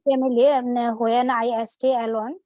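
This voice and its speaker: Woman student on a video call, slow and halting, lots of ums, fairly high voice. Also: level tone, mellow, no room sound. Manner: hesitant, many fillers, searching for words. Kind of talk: classroom practice.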